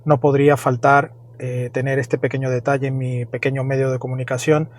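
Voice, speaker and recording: A man's voice: mid-range voice, level tone, a little fast. A man from Venezuela with a Venezuelan accent, presenting a casual car review in a chatty, explaining way. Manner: chatty, explaining details with tag questions.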